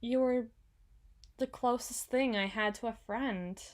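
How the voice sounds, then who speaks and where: A woman playing a frazzled, proud young female CEO in an ASMR roleplay, halting and stammering as she corrects herself. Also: on the high side, fairly even intonation, lightly acted. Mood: shy, hesitant.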